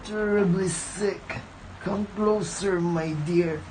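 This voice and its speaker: A woman's voice: on the low side, steady tone, takes it slowly, darkish tone, slight background noise, rough recording. A woman playing a big bad wolf who pretends to be a sweet old granny, coaxing in a storybook radio drama. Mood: sly, coaxing. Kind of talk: amateur radio drama acting.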